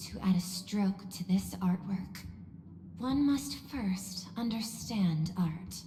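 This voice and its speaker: A woman playing a coolly logical female machine steward in a video game, speaking formally and precisely with measured detachment. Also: medium pitch, animated. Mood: clinical, detached. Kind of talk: scripted video game voice acting. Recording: echoey hall reverb, music behind.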